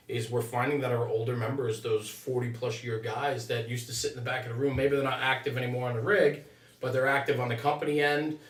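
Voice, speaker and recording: Middle-aged American male, firefighter podcast host. Bright, forward tone, conversational, recorded roomy and slightly distant. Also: medium pitch. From New Jersey, United States. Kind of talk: casual interview conversation.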